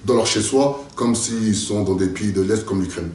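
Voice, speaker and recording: Low-voiced French man, distant echoey mic. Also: moderate pace, a little mellow. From France. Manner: emphatic, repetitive, speechlike. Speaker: local political activist and candidate. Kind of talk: interview answers.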